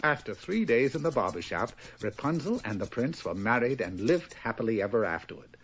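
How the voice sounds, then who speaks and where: A man's voice: mid-range voice, sing-song, a little fast. A man narrating a fairy tale for a 1960s cartoon in a genteel storytelling manner. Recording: noisy old TV sound.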